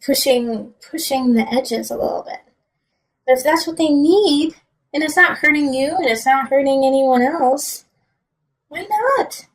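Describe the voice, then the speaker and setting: A woman with a fairly high voice gives a tarot reading in a conversational style. She addresses the listener directly and offers encouraging advice with emphasis. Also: rough recording. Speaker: tarot reader.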